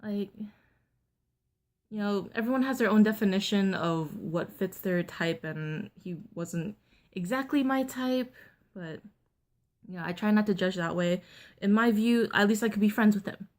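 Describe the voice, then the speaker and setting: A young woman vlogger with a deeper voice than most women, telling a personal story in a candid, blunt, chatty way with lots of fillers. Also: measured pace. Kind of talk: casual storytime vlog.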